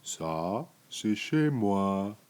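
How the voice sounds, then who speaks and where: A big, clumsy storybook bear played by a man reading aloud speaks in a low voice. He is earnest and makes his declarations emphatic and drawn out. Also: big pitch swings, drawn-out words, darkish tone, smooth, heavily acted. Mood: contented.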